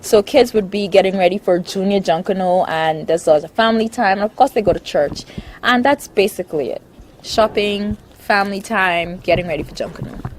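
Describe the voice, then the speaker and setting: A woman's voice: mid-range voice, brisk, a little hiss or hum. Chatty woman, young adult. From Bahamas. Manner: chatty, laughs often, lists things. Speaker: interviewee. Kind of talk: casual interview.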